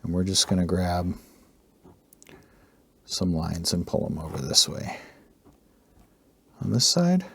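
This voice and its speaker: Guy talking slow thru a drawing tutorial, low voice, bit hoarse, easygoing. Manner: relaxed, rambling, with fillers. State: slightly hoarse. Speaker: art tutorial host. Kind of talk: casual narrated drawing tutorial.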